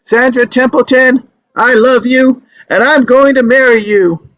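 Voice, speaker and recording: A man's voice: extremely high pitch, steady tone, a little slow, a bit bright, no room sound, low-quality recording. A man playing an earnest, lovestruck and stubborn young romantic in a screenplay table read, speaking sincerely and wistfully. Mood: ardent shouting.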